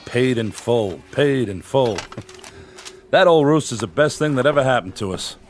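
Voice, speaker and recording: An actor playing a weary, skeptical small-town newspaper editor in a radio drama, dry and plain-spoken. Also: male voice, medium pitch, expressive, moderate pace, slight background noise, close to an everyday voice. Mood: brisk, intrigued.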